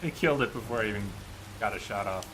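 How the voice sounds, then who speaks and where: A man's voice: fairly low pitch, lively intonation, fast, noisy background. A man giving casual, musing live commentary as he streams a video game. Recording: faint game rain behind voice. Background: game ambience and rain.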